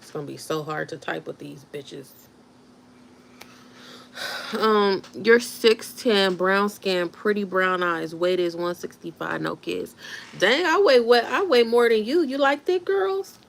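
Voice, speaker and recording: A woman's voice: mid-range voice, a little slow, vocal fry, slight background noise. A woman in her thirties chatting casually on a livestream, reacting to viewer comments as she talks. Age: 30s. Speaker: livestream host.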